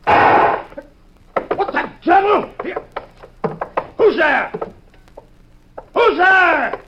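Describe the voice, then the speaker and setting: An actor playing a gruff, angry, suspicious ship's captain with blustering indignation in a 1950s radio drama. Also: male voice, very high voice, expressive, very slow, heavily acted. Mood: alarmed, angry. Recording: noisy, muffled 1950s radio recording.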